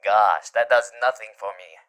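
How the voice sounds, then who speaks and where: An actor playing a gentle, doting demon with showman's charm and courtly manners in a soft, soothing ASMR roleplay. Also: medium pitch, normal speed, natural voice. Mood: playful, earnest. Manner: soft, soothing, hesitant, often breaking into whispers. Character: gentle, doting male demon with theatrical showman's charm, comforting a loved one.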